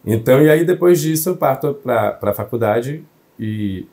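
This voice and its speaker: Young man, mellow storytelling voice. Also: mid-range voice, lively intonation, moderate pace. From Salvador, Bahia, Brazil. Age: young adult. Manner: reflective, fluent storytelling. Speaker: journalist guest. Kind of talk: interview conversation.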